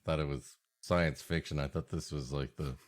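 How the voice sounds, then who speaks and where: A man with a low voice co-hosts a comedy podcast. He improvises jokes and tangents in a dry, sardonic manner, keeping the banter casual. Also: fairly even intonation, normal speed. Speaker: podcast co-host.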